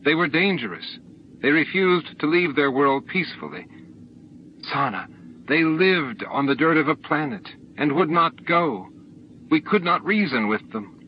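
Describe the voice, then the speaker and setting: A man's voice: medium pitch, a little slow, a little hiss or hum, close to an everyday voice. A man voicing a guilt-ridden, protective male alien in an audiobook. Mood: troubled, defensive. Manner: earnest, troubled. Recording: dull lo-fi audiobook tape recording.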